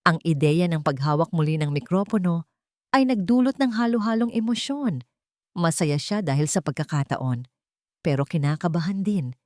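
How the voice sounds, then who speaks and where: Female narrator, lowish pitch, scripted romantic drama storytelling, very dry recording. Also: moderate pace, darkish tone, no echo.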